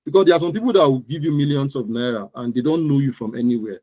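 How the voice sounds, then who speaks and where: A Nigerian man speaks as an interview guest about his work. His voice sits at a middling pitch in a dry recording, and he stresses points by repeating his phrases. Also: quick pace, a little mellow, dry recording. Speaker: interview guest, digital marketing entrepreneur. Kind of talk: conversational interview about own business.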